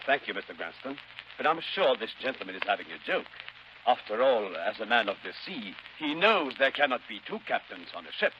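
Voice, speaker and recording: An actor playing a composed, courteous yacht captain in 1930s radio style. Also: male voice, medium pitch, big pitch swings, brisk. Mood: calm, formal. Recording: dull, hissy 1930s radio recording.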